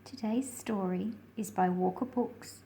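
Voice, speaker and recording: Slow-paced woman reading to children. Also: medium pitch, creaky, dark tone, a little hiss or hum. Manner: deliberate, simple phrasing addressed to children. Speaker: children's read-aloud host. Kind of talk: slow picture-book read-aloud with friendly asides to young viewers.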